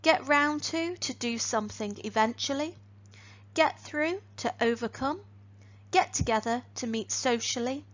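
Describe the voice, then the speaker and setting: A woman teaches a lesson, her voice sitting a little high. She speaks clearly and deliberately and lifts each new phrasal verb. The recording sounds slightly dull. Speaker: English teacher. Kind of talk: online grammar lesson.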